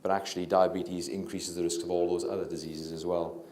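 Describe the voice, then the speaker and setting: Welsh guy, middle-aged, deep dark voice, giving a talk on a handheld mic, lots of ums, echoey room. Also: level tone, brisk. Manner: hesitant, many fillers and restarts; low-talking. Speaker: physician and researcher giving a public talk. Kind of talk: public lecture. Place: lecture room with audience. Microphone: handheld microphone.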